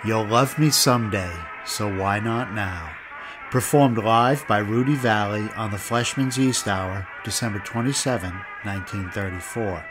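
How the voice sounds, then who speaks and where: A man's voice: fairly low pitch, unhurried, music in the background, poor audio. A man hosting a podcast, reading scripted narration and credits. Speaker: podcast host.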